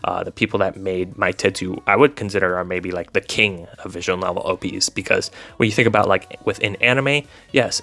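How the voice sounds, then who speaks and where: A man's voice: lowish voice, gravelly, a bit bright. A man giving casual, informal commentary as a video host, talking in a rambling, hedging way. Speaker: video host reviewing visual novels. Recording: voice over background music. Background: music.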